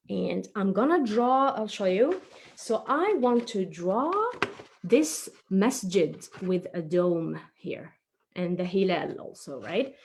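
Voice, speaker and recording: A woman presents a craft tutorial for young children. Her voice moves animatedly up and down in pitch as she encourages her viewers. She is playful and stresses words for little listeners, talking as if she were one of the group. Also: darkish tone.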